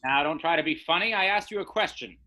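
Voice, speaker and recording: A man playing a jealous, stuffy fiancé in a radio play, blustering and accusing in a rough-edged voice. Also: fairly high pitch, animated, fast, sharp tone. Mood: suspicious, irritated.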